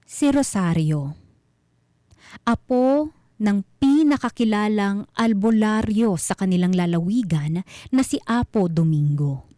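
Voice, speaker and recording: A woman with a Filipino accent narrating a scripted mystery story as host, in a measured, suspenseful manner. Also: mid-range voice, animated, dark tone.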